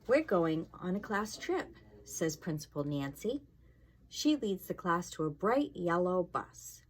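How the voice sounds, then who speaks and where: A woman's voice: lowish voice, very animated, a little slow. A woman fire inspector reading a storybook aloud to children, expressively, with casual asides and questions to her young listeners.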